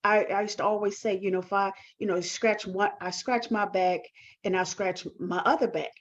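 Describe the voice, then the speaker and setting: A woman giving reflective interview answers as a guest, speaking earnestly and haltingly with repeated words. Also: mid-range voice, steady tone, lo-fi sound. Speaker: talk show guest, performing artist.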